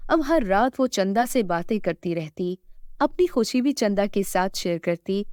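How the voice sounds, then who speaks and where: A woman's voice: medium pitch, a little mellow, no room sound. Woman narrating a children's story, dry recording.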